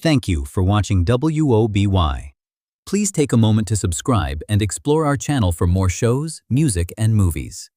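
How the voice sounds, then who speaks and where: A man's voice: on the low side, big pitch swings, normal speed, warm tone, no room sound, clean studio sound. A man reading a scripted channel promo as an announcer.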